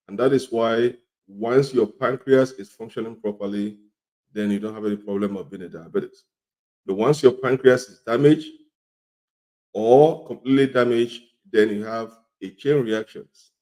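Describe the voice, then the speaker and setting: A middle-aged man with a cold and a slightly hoarse voice, teaching an informal health lesson, repeating his points and often asking "okay?". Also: mid-range voice, dark tone. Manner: repetitive, often checks in with "okay?". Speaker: health educator.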